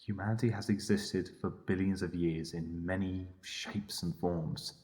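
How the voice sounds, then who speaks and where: Man acting an earnest, low-pitched inner voice. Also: fairly even intonation, measured pace, a little mellow. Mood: urgent, earnest. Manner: emphatic, coaxing, questioning. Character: earnest, pleading male inner voice, confident alter ego. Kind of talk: dramatic audio drama dialogue.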